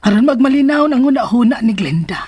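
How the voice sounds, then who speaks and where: A woman playing a worried, meddling mother with a husky voice in a radio drama. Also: a little high, lively intonation, quick pace, darkish tone. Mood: worried, reflective. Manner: questioning, exclamatory.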